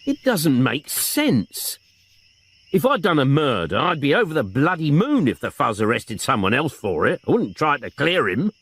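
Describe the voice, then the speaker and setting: A gruff, sardonic detective inspector, voiced by a male narrator, blunt and quick to swear. His voice swings widely in pitch between dry mutters and bellowed commands. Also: wildly swooping pitch, a bit bright, full character voice. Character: gruff, crude, sarcastic male police inspector.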